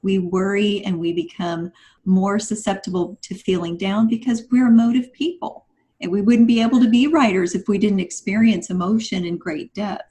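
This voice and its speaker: A woman with a mid-pitched voice talks as a guest on a writing podcast. She sounds earnest and explanatory, in a conversational discussion style. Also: normal speed, mellow.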